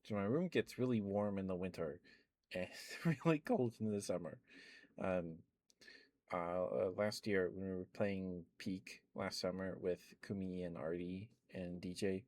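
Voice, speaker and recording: A man chatting in a soft, rambling ASMR style, close to the mic, with many fillers and small laughs. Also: sits low, a little slow, no echo. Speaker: ASMR streamer.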